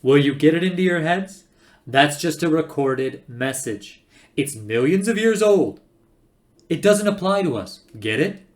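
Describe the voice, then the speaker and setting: A man voicing a brash, cocky spaceship adventurer who talks loudly, emphatically and impatiently. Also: lowish voice, sing-song, brisk, hardly any pauses. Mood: exasperated.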